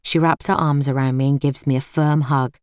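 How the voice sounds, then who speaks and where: Low-pitched British woman narrating, dry studio sound. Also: steady tone, moderate pace, darkish tone, clear, resonant tone, no room sound. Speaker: audiobook narrator. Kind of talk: scripted first-person fiction narration.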